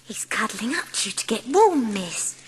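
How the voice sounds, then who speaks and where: A woman's voice: a little high, big pitch swings, normal speed, a little hiss or hum. A woman playing a humble, curious young servant girl with a working-class English accent and colloquial, deferential speech in an audiobook drama. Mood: curious, shy.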